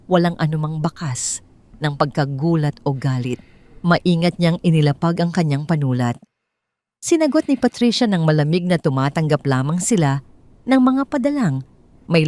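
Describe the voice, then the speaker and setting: Filipino woman narrating, slightly low voice. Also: warm tone. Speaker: audio drama storyteller. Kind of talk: scripted story narration.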